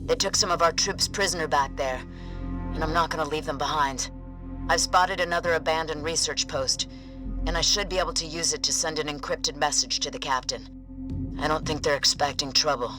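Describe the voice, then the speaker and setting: An actor playing a determined, defiant special-forces soldier in a video game. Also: male voice, a little high, steady tone, brisk, lightly acted. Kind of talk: dramatic video game acting. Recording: music behind the voice.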